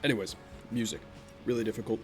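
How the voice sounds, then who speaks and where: A man's voice: on the low side, steady tone, quick pace. A young man with a naturally nasal voice, rambling in casual gameplay commentary and chatting offhandedly about his life. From Vermont, USA. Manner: rambling, self-deprecating, offhand. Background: game music.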